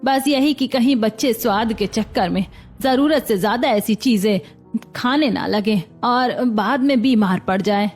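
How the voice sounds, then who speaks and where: A woman's voice: fairly high pitch, unhurried, faint music behind. A woman playing a caring, cautious older mother-in-law who advises earnestly in a cartoon family story. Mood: concerned. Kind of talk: Hindi cartoon story acting.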